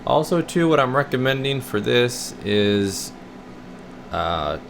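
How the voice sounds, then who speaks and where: A man's voice: mid-range voice, slow. A man speaking as a radio repair technician, giving a casual, folksy hands-on walkthrough to camera in an informal way. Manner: folksy, informal, addressing viewers as guys. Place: indoor radio repair workshop. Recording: clear steady room noise.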